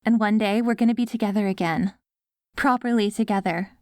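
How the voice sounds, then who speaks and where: A woman playing an affectionate post-apocalyptic wife in an ASMR audio roleplay, coaxing and gently praising her ghoul husband. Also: medium pitch, brisk, no room sound, studio quality, close to an everyday voice. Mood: tender, wistful.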